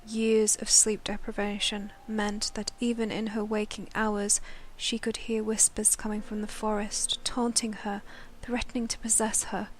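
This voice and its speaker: A woman's voice: medium pitch, a little hiss or hum. A woman reading scripted dramatic lore narration in a solemn storytelling manner. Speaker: narrator of a game lore news chronicle. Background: background music.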